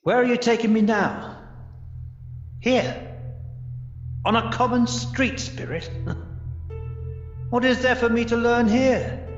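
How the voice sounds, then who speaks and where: An elderly miser in an old-style radio play. His voice is high for a man and drawn out very slowly, full of stammers and repeated pleas. It rings through a long, cavernous reverb. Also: steady tone, soft background music. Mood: anxious, pleading. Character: elderly miser, terrified and pleading, desperate to repent. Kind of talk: 1930s-style radio drama acting. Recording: muffled recording with long echoing reverb.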